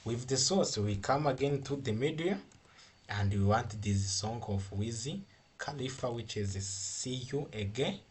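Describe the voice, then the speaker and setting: A man who is a non-native English speaker, giving an informal software tutorial in a halting, repetitive, step-by-step way. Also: medium pitch, husky. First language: not English. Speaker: coding tutorial instructor.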